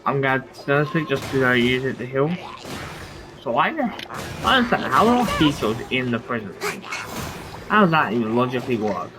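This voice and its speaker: A man's voice: medium pitch, very animated, brisk, music in the background, rough recording. A young adult man giving casual, rambling gameplay commentary as a streamer, thinking aloud as he goes. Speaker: game streamer.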